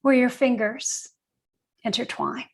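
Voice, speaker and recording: Older woman, gentle meditation guide, very slow pace, creaky voice, long pauses. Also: on the high side. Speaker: guided meditation teacher, nurse and educator.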